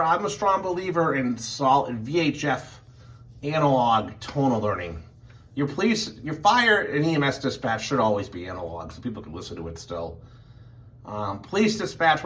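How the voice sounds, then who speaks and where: A man's voice: mid-range voice, lively intonation, moderate pace, a bit bright. A man giving a casual walkthrough of his equipment, rambling and hesitant as he thinks aloud, recorded indoors at home. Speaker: emergency medical worker and radio hobbyist. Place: indoors in a house. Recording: home recording with faint background noise.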